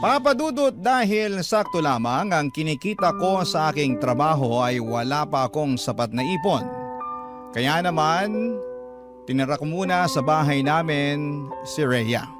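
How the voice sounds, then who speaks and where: Male Filipino radio storyteller, slightly high voice, scripted first-person narration over prominent guitar music. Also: expressive, unhurried. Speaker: radio storyteller reading a listener's letter.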